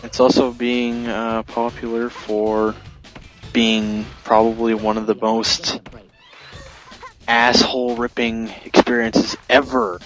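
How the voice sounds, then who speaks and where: A man's voice: medium pitch, expressive, slow, gravelly, faint music behind, poor audio. A man doing casual, crude, joking commentary with friends over a video game. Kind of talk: casual group gameplay commentary.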